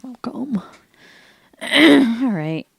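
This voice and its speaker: Gravelly-voiced playful woman radio co-host. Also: a little high, animated, drawn-out words, dry recording. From Vermont. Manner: teasing, playful. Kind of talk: casual chat. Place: community radio studio. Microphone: studio microphone.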